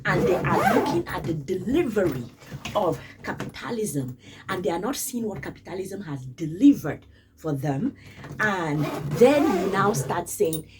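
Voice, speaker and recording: A woman's voice: mid-range voice, very animated, measured pace, far from the mic, noisy background. A woman from Cameroon, giving casual spoken commentary in an emphatic way and repeating phrases for stress. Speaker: vlogger.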